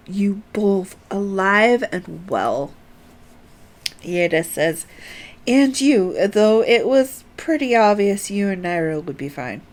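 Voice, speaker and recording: A woman game streamer carefully reading on-screen dialogue aloud, announcing each speaker before the line. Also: mid-range voice.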